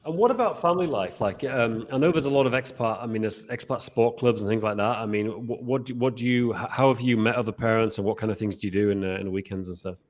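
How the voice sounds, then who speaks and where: A man's voice: fairly low pitch, fast, few pauses. A man hosting a podcast interview, asking long rambling questions full of restarts. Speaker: podcast host. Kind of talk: casual interview.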